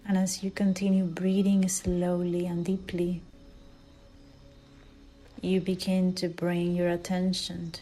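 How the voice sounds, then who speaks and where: A woman leading a guided meditation in a soft, soothing way, with phrase endings that fall into breathy near-whispers. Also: fairly low pitch, rough recording. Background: background music.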